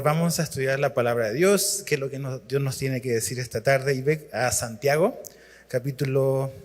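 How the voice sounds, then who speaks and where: A man from Chile, preaching as a guest and reading scripture in a relaxed, halting way full of fillers and restarts. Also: mid-range voice, in a large hall.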